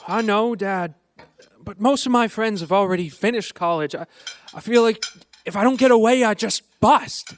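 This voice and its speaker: A man's voice: sits high, moderate pace, a little hiss or hum, natural voice. An actor in an old-fashioned live radio play playing a restless small-town young man, eager, boyish and stammering. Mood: restless, frustrated.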